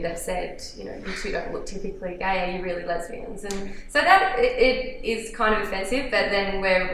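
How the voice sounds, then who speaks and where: A woman's voice: medium pitch, fairly bright tone. A woman talking earnestly as an interview guest, often breaking into a laugh. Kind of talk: casual interview chat. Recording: echoey room with faint noise.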